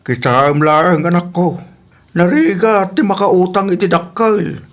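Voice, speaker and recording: A man's voice: high voice, lively intonation, moderate pace. A man playing a worried, doubtful older father in an Ilocano radio drama, fretful and questioning.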